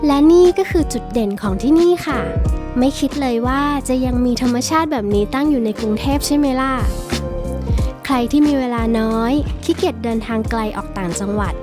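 A woman's voice: high-pitched, fairly quick. A woman hosting a travel vlog, giving a cheerful, friendly and polite scripted voice-over. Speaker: vlog host reviewing a cafe. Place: voice-over, not recorded on location. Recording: voice-over with clear background music. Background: background music.

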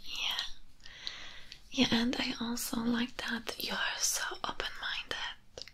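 Slow whispering young woman, ASMR. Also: on the high side, completely flat delivery, bright, forceful tone. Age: young adult. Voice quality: breathy whisper. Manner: slow, soothing, intimate. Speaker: ASMR performer. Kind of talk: soft-spoken ASMR comfort roleplay. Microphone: close-up microphone, speaking into it ear to ear.

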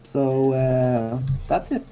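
A man's voice: medium pitch, steady tone, drawn-out words, mellow, lo-fi sound. A young man streaming game development, joking around in casual chat from a small room, a little music behind. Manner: playful, teasing, jokey rambling.

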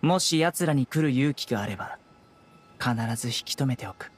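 A man playing a stern, calm young investigator in an anime game, speaking bluntly with composure. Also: medium pitch, normal speed, close to an everyday voice. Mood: calm, resolute. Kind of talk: Japanese anime game voice acting.

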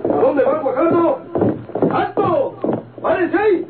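A noisy vintage radio serial recording has an alarmed prison guard. His voice is extremely high as he shouts strained commands. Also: animated, takes it slowly, narrow, tinny audio, exaggerated voice. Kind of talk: old Mexican radio drama acting.

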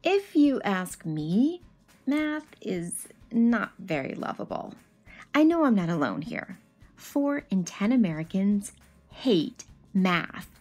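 A woman in her thirties giving an expressive picture-book read-aloud, animatedly addressing children with questions and asides. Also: medium pitch, takes it slowly, soft background music.

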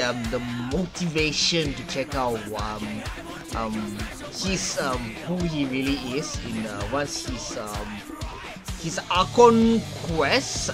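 A young man giving casual reaction commentary, repeating himself and searching for words as he goes. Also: a little high. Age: young adult. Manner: halting, repetitive, searching for words. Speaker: reaction video host. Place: small home room, indoors. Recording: game trailer music playing behind.

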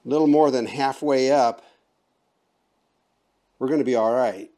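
A man's voice: medium pitch, unhurried, warm tone. A middle-aged man talks through a hands-on DIY project in plain, folksy terms. He sounds like he is working in an open garage, picked up by the camera's own mic. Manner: folksy, plain-spoken instructions. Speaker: DIY vlogger. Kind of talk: casual how-to narration. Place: open garage, then outdoors on a roof.

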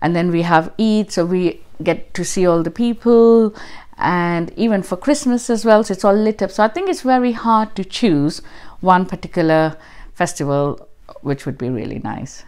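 A woman's voice: lowish voice, animated, normal speed, a little mellow, smooth. A woman in her thirties from Mumbai with an Indian accent, answering interview questions in a chatty way with many fillers, recorded in a living room. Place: living room, seated on sofa by window.

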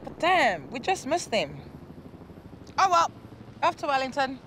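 A woman's voice: high voice, very animated, a little slow, noisy background. A young woman from South Auckland with a New Zealand accent, hosting with cheeky, playful comic narration. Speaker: host.

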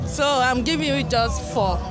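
A woman's voice: high voice, normal speed. A young woman in her twenties with a Nigerian accent, giving casual, short and hesitant answers in a street interview. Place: outdoors by a street wall and parked car. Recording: loud music and crowd, outdoor street. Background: loud music, crowd.

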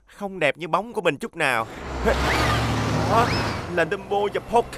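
High-pitched, fussy cartoon steamroller. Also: male voice, animated, a little slow, very noisy. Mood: puzzled, disdainful. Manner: exclamatory, whiny and indignant. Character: fussy, possessive talking steamroller, male, cartoon. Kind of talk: dubbed children's cartoon acting.

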